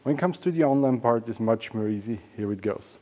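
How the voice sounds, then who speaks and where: A man presents to camera in an enthusiastic, informal way. His voice is mellow and relaxed, at an average pitch. It rings with the long reverb of a large indoor hall. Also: a little fast, lo-fi sound. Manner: enthusiastic, informal, non-native English phrasing. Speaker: auction house presenter. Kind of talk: semi-scripted promotional explainer to camera. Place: large indoor auction hall and storage room.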